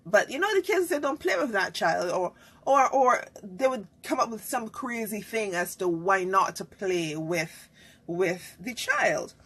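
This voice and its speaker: A woman's voice: medium pitch, animated, measured pace, a bit bright, slightly rough. A woman who hosts a live online talk show. She chats casually, greets viewers and laughs often.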